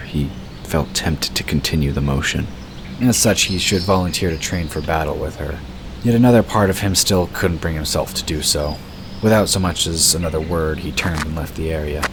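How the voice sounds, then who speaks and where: Deep-voiced male story narrator. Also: background noise. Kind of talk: scripted audiobook narration.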